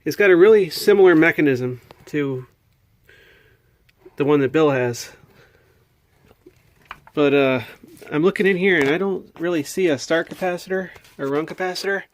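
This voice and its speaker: A man's voice: fairly high pitch, normal speed, pauses often. An American man giving a casual show-and-tell, thinking aloud in a hesitant, puzzled way. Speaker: hobbyist video maker. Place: indoors at a home workbench.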